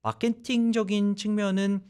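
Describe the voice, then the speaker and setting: A man hosting a radio sports talk show, explaining at length in a loose, animated conversational way. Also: sits high, moderate pace, clear, resonant tone.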